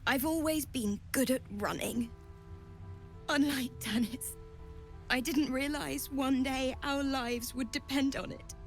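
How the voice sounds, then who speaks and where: A woman playing a frightened, grieving young refugee in a video game, tearful and halting. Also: high-pitched, soft background music. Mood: haunted, grieving. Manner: halting, fragile. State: tearful, sighing. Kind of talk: dramatic video game acting.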